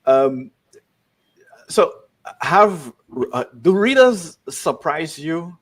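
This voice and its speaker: A man's voice: fairly high pitch, sing-song, very slow. A man interviewing an author over a video call, hesitant and full of ums and restarts. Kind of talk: online interview. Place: home in Texas.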